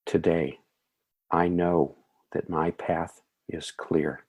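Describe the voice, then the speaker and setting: A man leading a guided meditation in a soothing, measured way, with calm phrasing and gentle affirmations. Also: sits low, husky.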